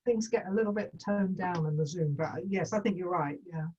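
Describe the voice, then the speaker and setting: A woman's voice: fairly low pitch, brisk, mellow, breathy edge. A woman actor on a video call, discussing a script thoughtfully and tentatively, feeling her way through suggestions. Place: remote video call, away from the mic. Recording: muffled, distant video-call audio.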